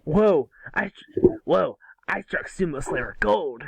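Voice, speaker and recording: A man's voice: fairly high pitch, lively intonation, slow, gravelly, breathy edge, no echo, heavily acted. A man playing a cocky, wisecracking boy hero in a cartoon table read, brash and teasing. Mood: excited.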